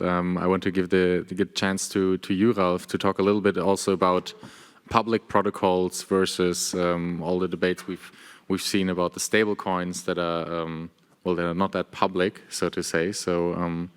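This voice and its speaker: A man's voice: low-pitched, flat delivery, moderate pace, clean tone. A man moderating a conference panel, hesitant and repeating words. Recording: long reverb of a conference hall.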